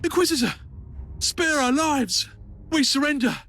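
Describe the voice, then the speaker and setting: A woman's voice: sits high, expressive, measured pace, a bit bright. A woman playing a frightened, repentant rebel soldier in a video game, with dramatic delivery. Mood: desperate.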